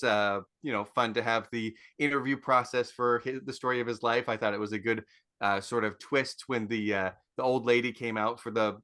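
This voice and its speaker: A man's voice: medium pitch, sharp tone. A man giving his casual take as a podcast panelist. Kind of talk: casual review chat.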